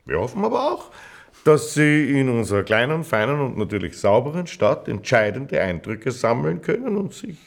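An actor playing a jovial, self-important middle-aged small-town mayor in a radio drama, with a pompous, chummy manner. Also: male voice, medium pitch, big pitch swings, normal speed, creaky, a little hiss or hum. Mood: pompous, ceremonious.

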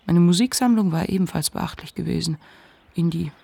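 An actor playing a reflective, ashamed narrator confiding a memoir in a measured literary radio monologue. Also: mid-range voice, creaky, mellow, slightly rough. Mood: reflective, confessional.

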